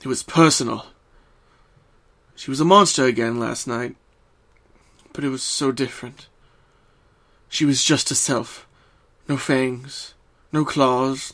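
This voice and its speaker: A man reading a scripted story as narrator, in a solemn, deliberate and dramatic way. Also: mid-range voice, fairly bright tone.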